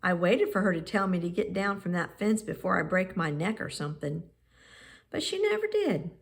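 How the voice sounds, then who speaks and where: A woman reading a children's picture book aloud in measured, expressive phrases with pauses. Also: lowish voice, vocal fry. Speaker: storybook reader.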